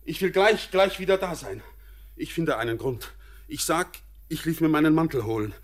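An actor playing a restless, haunted young miner in a classic radio play, speaking in a brooding, urgent way. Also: male voice, on the high side, lively intonation, normal speed, close to an everyday voice. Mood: feverish. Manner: brooding, then feverish and urgent. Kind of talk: 1900s-style radio play acting.

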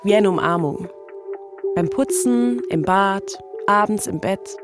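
A woman's voice: medium pitch, slow. Female podcast host, scripted storytelling, mid-range voice over music. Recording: music playing behind the voice.

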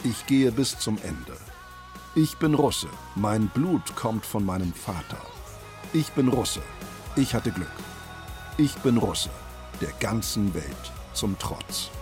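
Male voice-over, declaimed quotes, lowish pitch, swinging intonation, clear music behind. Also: sing-song, slow. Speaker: translation voice-over.

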